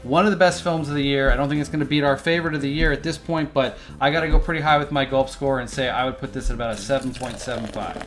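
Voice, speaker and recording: A man's voice: medium pitch, fairly even intonation, a bit bright. A man in his forties co-hosting a movie review, rambling with many fillers and restarts. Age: 40s. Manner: rambling, halting, filler-heavy. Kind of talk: casual chat.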